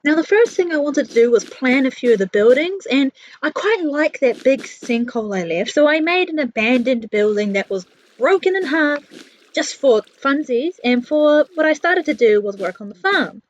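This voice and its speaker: A woman's voice: high-pitched, lively intonation, moderate pace, low-quality recording. A woman with a New Zealand accent giving a casual, chatty voice-over for a gaming build vlog, candid and self-deprecating. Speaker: video creator, narrator.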